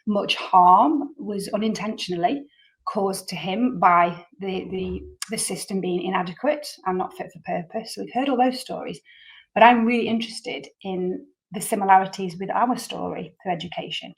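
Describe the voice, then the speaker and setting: A woman's voice: medium pitch, moderate pace, poor audio. A woman hosting a podcast, introducing her guest in an earnest, rambling way with many repeated words. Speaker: podcast host. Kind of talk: casual interview chat.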